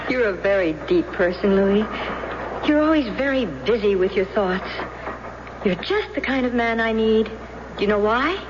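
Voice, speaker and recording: A woman's voice: medium pitch, lively intonation, moderate pace, close to an everyday voice. An actress playing a giddy, lovestruck young German woman in a 1970s radio drama, gushing and breathless. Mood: romantic, confiding. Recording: dull, very noisy old radio recording.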